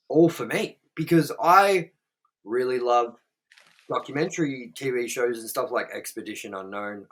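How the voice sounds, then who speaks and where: A man co-hosting a podcast in loose, rambling casual chat. He swears freely and keeps circling back with fillers while he works out his point. His voice sits at an ordinary middle pitch. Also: fairly bright tone. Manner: rambling, profane, with lots of fillers. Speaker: podcast co-host.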